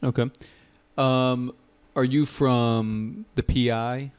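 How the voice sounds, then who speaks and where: A man's voice: medium pitch, fairly even intonation, slow, a little mellow, clean tone. A man with an American accent, an English exam teacher giving spoken feedback in a class, chatty and repeating himself to drive his points home. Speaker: IELTS teacher.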